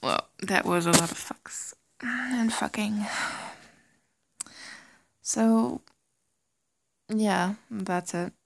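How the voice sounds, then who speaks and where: A young German woman with a light German accent, chatting casually and giggly, often slipping into a soft whisper. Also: medium pitch, very slow, vocal fry, pauses often, slight background noise. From Germany, raised in Singapore. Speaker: audio creator. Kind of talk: casual chat reading word lists.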